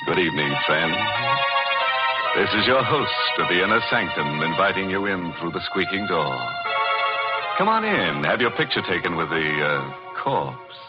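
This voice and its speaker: An actor playing a gleeful, mock-sinister horror host with a sardonic, drawn-out theatrical delivery in 1940s radio style. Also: male voice, low-pitched, very animated. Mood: ghoulish mirth. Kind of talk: 1940s radio horror hosting with ghoulish puns. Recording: muffled vintage 1940s radio, organ music.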